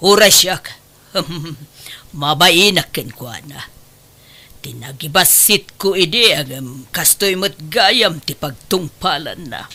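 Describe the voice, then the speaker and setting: Woman acting a dramatic haughty mother, bright swooping voice. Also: on the low side, animated, heavily acted. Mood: ashamed. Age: middle-aged. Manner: exclaiming, halting when flustered. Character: haughty middle-aged mother, later flustered and ashamed. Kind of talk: Ilocano radio drama acting.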